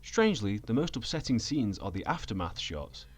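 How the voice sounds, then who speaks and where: A man giving sardonic, scripted video commentary in a deadpan, sweary manner. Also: fairly low pitch, moderate pace. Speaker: video essayist. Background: background music.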